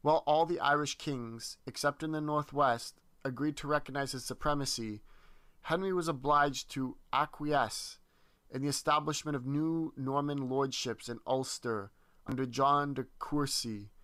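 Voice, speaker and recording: Man, history lesson, read-aloud delivery, measured, occasional stumbles, dry close sound. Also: mid-range voice, a bit bright, smooth, no echo. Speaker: history presenter. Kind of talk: reading a history text aloud.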